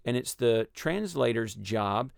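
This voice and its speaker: A man with a Texas accent explaining a Bible passage in a measured, teaching way on a radio discussion. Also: medium pitch, expressive, vocal fry, clear, resonant tone, dry recording, studio quality. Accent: Texan. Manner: measured, explanatory, with frequent ums and uhs.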